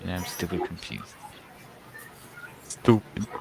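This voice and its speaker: Deep-voiced man with very lively intonation. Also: takes it slowly, vocal fry, noisy background. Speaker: friend in a group game stream. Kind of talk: casual chat. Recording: loud background music over the voice.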